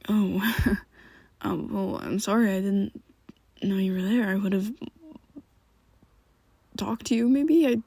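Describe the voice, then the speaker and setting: A woman's voice: mid-range voice, takes it slowly, gravelly, pauses often, dark tone, slightly rough, dry recording, exaggerated voice. A woman voicing a young male vampire best friend in an ASMR roleplay, who talks hesitantly and half-whispers with nervous stammers. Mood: evasive.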